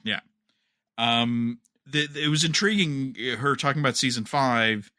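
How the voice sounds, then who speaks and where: A man co-hosting a fan podcast, talking casually and a bit haltingly as he thinks aloud. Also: mid-range voice, pushed and bright, no room sound, clean studio sound. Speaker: podcast co-host.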